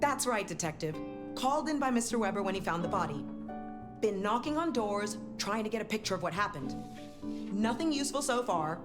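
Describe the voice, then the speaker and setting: An actress playing a businesslike policewoman giving factual reports briskly in a video game. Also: medium pitch, big pitch swings, over music, close to an everyday voice. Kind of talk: video game dialogue acting.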